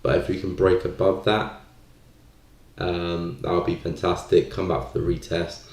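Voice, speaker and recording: A man's voice: low voice, flat delivery, normal speed, a little mellow. A man hosting a live stream, giving casual market commentary and thinking aloud in a hesitant way. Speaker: live stream trading host. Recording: roomy, echoey sound.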